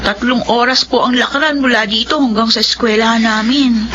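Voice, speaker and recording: A woman's voice: a little high, steady tone, a little fast, a bit bright, slight background noise, lightly acted. An actress playing a polite, determined young village girl in a Filipino drama, sincere and respectful. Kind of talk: Filipino radio-style drama acting. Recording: dull lo-fi audio with music behind.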